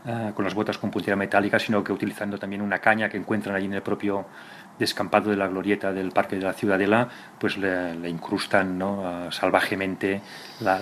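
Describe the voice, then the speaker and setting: A middle-aged policeman gives halting interview testimony. His voice is low and flat, repeating words as he goes. There is faint ambient noise. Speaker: police commissioner giving testimony. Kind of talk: spontaneous interview recollection.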